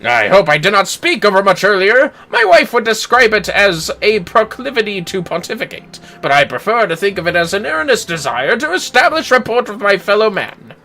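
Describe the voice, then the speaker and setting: A proud, verbose nobleman from a video game. The voice is raised and animated, bright and forceful, rolling through courtly phrases with great pomp. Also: high-pitched, moderate pace, few pauses, voice pushed up, heavily acted. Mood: earnest. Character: proud, effusive, long-winded noble father. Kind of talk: video game dialogue read aloud.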